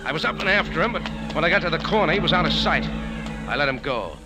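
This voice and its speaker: An actor playing a cool, wry American café owner and sleuth, laconic and deadpan in 1940s radio drama style. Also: male voice, a little high, fast, close to an everyday voice. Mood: cool, narrating. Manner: laconic, hard-boiled, deadpan. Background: music.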